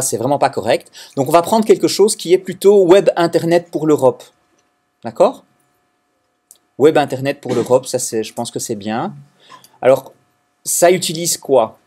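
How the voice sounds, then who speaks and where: A husky-voiced man from Belgium lectures his students through a software lesson. His voice sits a little high, and he talks in a hesitant, explanatory way, often restarting his sentences. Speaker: teacher. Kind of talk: recorded classroom lecture.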